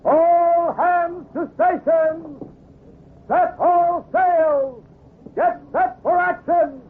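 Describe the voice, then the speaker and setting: A pirate crewman, bellows long, high commands for battle. It is old-time radio drama, thin and tinny with clear noise. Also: fairly even intonation, heavily acted. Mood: urgent, rousing. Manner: shouted, drawn-out commands. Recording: tinny, noisy vintage radio broadcast.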